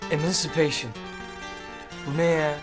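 A man's voice: a little high, steady tone, a little slow, natural voice. A young male actor playing a cheeky, sulky student in a TV drama. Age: teens or young adult. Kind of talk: TV drama acting. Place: indoor room in a house, on set. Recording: loud music under the dialogue.

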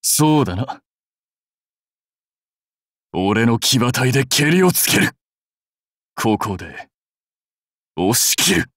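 An actor playing a proud, fierce warlord general in a mobile game, terse, rough and commanding. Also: male voice, mid-range voice, very animated, takes it slowly, pauses often, fairly bright tone, no echo, clean studio sound, heavily acted. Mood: cool, menacing. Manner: terse, rough, declamatory delivery. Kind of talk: anime-style mobile game battle and dialogue voice lines.

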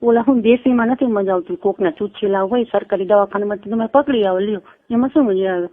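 A woman's voice: mid-range voice, quick pace. A woman talks in a casual, private way with a Kathiawari Gujarati accent. Her voice comes through a narrow, tinny phone connection, as on a recorded call.

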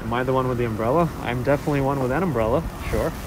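A man's voice: mid-range voice, racing. Male street streamer, from Russia, easygoing and chatty, handheld phone on a gimbal, heavy traffic and siren noise outdoors. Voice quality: musing, conversational, talks to himself while walking. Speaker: live-streaming walking vlogger. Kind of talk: casual live-stream chat, reading viewer comments aloud. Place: outdoors on a snowy city street.

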